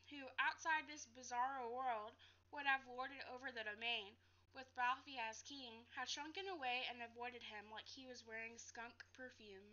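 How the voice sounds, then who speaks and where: A woman's voice: sits high, sharp tone. A young adult woman reading a storybook aloud like a teacher, carefully, with some stumbles and repeats. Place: living room, seated on a couch. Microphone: webcam at a short distance. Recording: dull lo-fi webcam audio.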